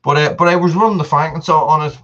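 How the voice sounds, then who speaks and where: A man's voice: a little high, fairly even intonation, fast talker, fairly bright tone, highs cut off. A man, hosting a casual live stream and chatting to viewers. He rambles, repeats himself and laughs often. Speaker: channel host on a live stream.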